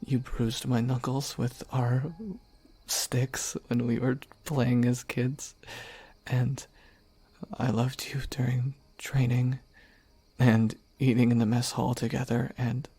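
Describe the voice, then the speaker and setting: A man voicing a devoted young knight who earnestly confesses his love, speaking softly and haltingly. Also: mid-range voice, level tone, husky, no echo. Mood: tender. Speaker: voice actor. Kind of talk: audio roleplay acting.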